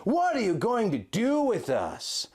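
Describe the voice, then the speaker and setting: A man's voice: high-pitched, wildly swooping pitch, measured pace, darkish tone, voice pushed up, full character voice. A man voicing an earnest captive fantasy hero, strained and anguished, in a story reading. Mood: angry. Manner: strained, faltering, indignant.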